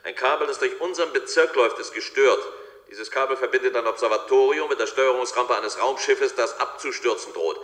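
An actor playing a brisk, self-important male government deputy in a science-fiction radio play, giving urgent, bossy orders over an echoing line. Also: mid-range voice, fairly bright tone, lightly acted.

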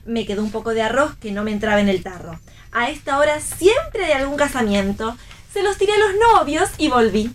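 A young pregnant Argentine daughter in a radio play. Her voice is high and swings freely up and down. She is playful, brisk and plain-spoken, with theatrical delivery. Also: very animated, slight background noise.

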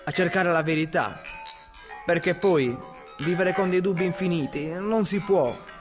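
A man playing a troubled twenty-year-old searching for his lost father in an audio drama, halting and questioning in slow monologue. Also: sits high. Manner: halting, questioning, drawn-out phrases. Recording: voice over prominent music.

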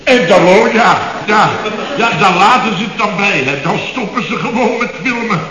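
A man's voice: sits high, normal speed, noisy background, close to an everyday voice. An actor playing an indignant middle-aged company boss in a radio comedy, sarcastic and sputtering as he repeats himself. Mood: flustered. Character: blustering, indignant middle-aged Dutch building contractor boss. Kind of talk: 1970s radio comedy acting. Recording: dull, echoey old radio recording.

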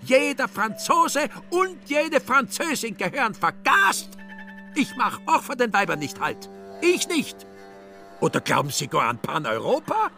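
A man voicing an older male nationalist in a radio play, a fanatical, spiteful ranter who shouts in agitation. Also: very high voice, wildly swooping pitch, measured pace, gravelly, soft background music, voice pushed up, exaggerated voice. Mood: furious.

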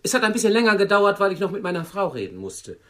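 An older actor playing a meek, lonely old widower in a radio play, awkwardly polite and hesitant. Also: male voice, high voice, big pitch swings, quick pace, fairly bright tone. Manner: hesitant, apologetic, formally polite.

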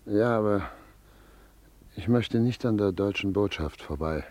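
An actor playing a German émigré doctor who calmly foresees war and internment in a radio drama. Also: male voice, fairly low pitch, unhurried, noisy background, lightly acted. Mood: shaken. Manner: subdued, fatalistic, earnest. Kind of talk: radio play acting.

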